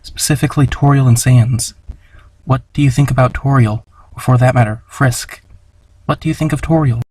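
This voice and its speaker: A man with a husky voice casually reading audience questions aloud for a comic dub. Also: mid-range voice, fairly even intonation, moderate pace, darkish tone, no echo, low-quality recording.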